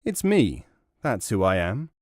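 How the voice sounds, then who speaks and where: A man's voice: lowish voice, big pitch swings, a little slow, darkish tone, no room sound, clean studio sound. A man voicing a sly traveller in a folk tale, a glib, casual trickster. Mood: breezy, evasive. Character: sly male traveller, a smooth-talking trickster. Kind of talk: storytelling in a narrated folk tale.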